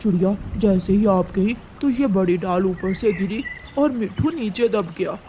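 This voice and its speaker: A woman's voice: mid-range voice, measured pace, warm tone, a little hiss or hum, close to an everyday voice. A woman voicing an earnest, worried male pigeon in a cartoon, speaking plainly.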